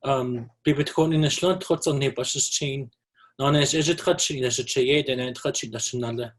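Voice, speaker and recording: A Navajo man from the US Southwest giving a measured presentation at a virtual symposium, with frequent ums and throat clearing. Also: medium pitch. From Navajo Nation, US Southwest. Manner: measured, opens with a greeting in his own language. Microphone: video call.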